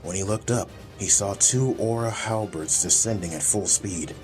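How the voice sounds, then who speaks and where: A man's voice: fairly low pitch, moderate pace. Man, audiobook narrator, scripted dramatic storytelling, prominent background music.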